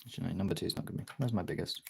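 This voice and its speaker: A man's voice: deep voice, rapid-fire, creaky, noisy background. A man talking in an informal, rambling way, thinking aloud as he gives a casual art demonstration as a streamer. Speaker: streamer, artist. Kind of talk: casual chat while demonstrating drawing.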